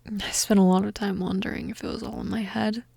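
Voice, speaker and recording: Casting: actress as young goth female roommate for intimate audio roleplay. Mellow, slightly creaky voice, hesitant, teasing and tender, half-whispered. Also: medium pitch, little pitch movement, brisk, dry recording. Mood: tentative, tender. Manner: hesitant, gentle, teasing, breathy murmuring.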